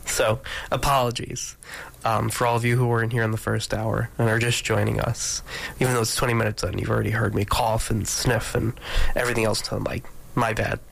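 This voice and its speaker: Congested male DJ, gravelly, rambling casual studio patter. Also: lowish voice, little pitch movement, fairly quick, fairly bright tone, poor audio. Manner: rambling, many ums and restarts. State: congested from allergies. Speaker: radio DJ. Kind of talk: casual radio chat between songs. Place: radio studio.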